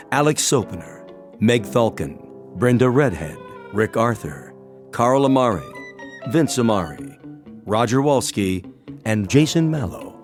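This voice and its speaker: A man's voice: fairly low pitch, a little mellow. A man working as an announcer, reading scripted closing credits for a radio drama.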